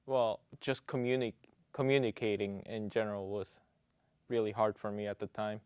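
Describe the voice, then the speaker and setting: Smooth-voiced young man from South Korea. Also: fairly low pitch, little pitch movement, normal speed, a little mellow, dry recording, highs cut off. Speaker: interviewee. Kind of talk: casual interview answers.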